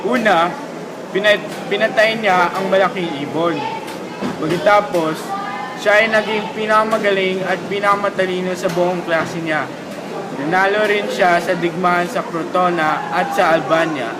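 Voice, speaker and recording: A man plays a supportive schoolboy buddy in an amateur radio play. His voice is high for a male, and loud noise fills the recording. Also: fairly even intonation, normal speed, lightly acted. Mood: reassuring. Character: encouraging schoolboy friend retelling a hero's tale. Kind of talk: scripted amateur student radio drama.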